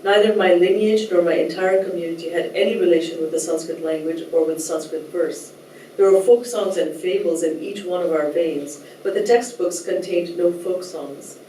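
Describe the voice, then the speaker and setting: A woman from Nepal giving a thoughtful, measured talk as a writer, at times reading a translated essay aloud, with soft hesitations. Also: on the low side, slight background noise. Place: lecture room. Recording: roomy echo, distant mic, faint ambience.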